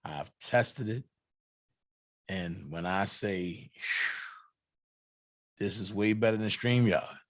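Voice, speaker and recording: A hoarse man hosting a livestream, chatting casually and hyping up shout-outs to fellow channels. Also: fairly low pitch, slow, long pauses, no room sound. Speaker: livestream host.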